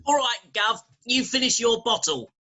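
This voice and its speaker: Woman doing a cheeky confident teen boy in an old stage farce, bright forceful voice, breezy. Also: on the high side, moderate pace. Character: cheeky, confident teenage stepson. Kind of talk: staged reading of Victorian farce.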